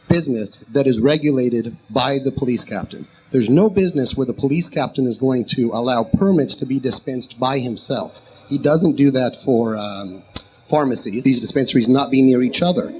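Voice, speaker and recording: A man's voice: medium pitch, normal speed, darkish tone, a little hiss or hum, rough recording. Emphatic man arguing a point. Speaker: panel speaker on a radio talk show. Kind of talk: argumentative public discussion.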